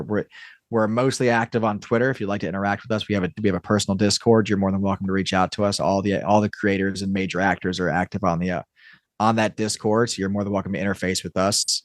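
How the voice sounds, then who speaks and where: A man speaking as a podcast guest in an informal, halting casual chat. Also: deep voice, no echo, studio quality. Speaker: podcast guest, audio drama creator.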